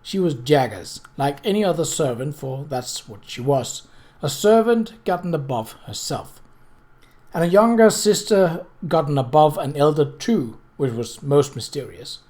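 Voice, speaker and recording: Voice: mid-range voice, expressive, measured pace, a little mellow. A person reading a novel aloud as scripted narration, occasionally stumbling over words and rereading them. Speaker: audiobook reader.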